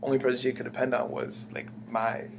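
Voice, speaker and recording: A man's voice: mid-range voice, level tone, a little fast, vocal fry. A young man from the United States, talking in a rambling, casual way as the interviewee, full of fillers and restarts. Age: young adult. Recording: humming background noise.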